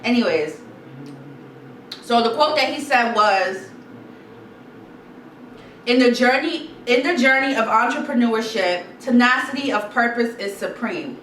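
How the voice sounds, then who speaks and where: A woman's voice: a little high, a little slow, pauses often. Chatty Guyanese woman vlogger, roomy sound. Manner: animated, chatty, repeats herself, reads quotes aloud. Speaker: YouTube vlogger. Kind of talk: casual sit-down advice chat. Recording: roomy echo, slightly distant mic, faint noise.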